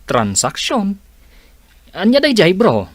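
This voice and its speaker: A man's voice: high-pitched, wildly swooping pitch, slow, dry recording, exaggerated voice. A man with an Ilocano accent playing a suspicious, questioning brother in a village radio drama.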